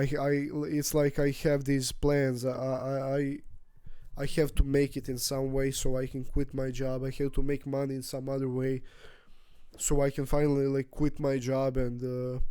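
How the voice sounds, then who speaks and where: A man in his twenties, not a native English speaker, talking through his feelings in a rambling, halting personal vlog with frequent restarts. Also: mid-range voice, mellow, smooth, no room sound. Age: 20s. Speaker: vlogger. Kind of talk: casual personal vlog monologue.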